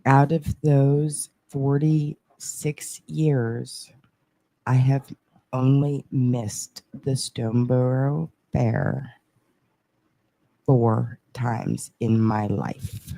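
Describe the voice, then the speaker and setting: A woman's voice: extremely low pitch, extremely slow, gravelly, warm tone, no echo, rough recording. A woman in her forties co-hosting a podcast, chatting casually and earnestly about family traditions. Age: 40s. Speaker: podcast co-host. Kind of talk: casual chat.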